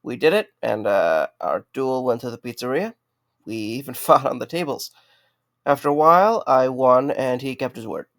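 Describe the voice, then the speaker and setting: A man's voice: mid-range voice, expressive, normal speed, creaky, fairly bright tone, dry recording, clean studio sound. A man playing a sarcastic, easygoing young night guard in a conversational audio drama. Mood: amused storytelling. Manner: wry, conversational.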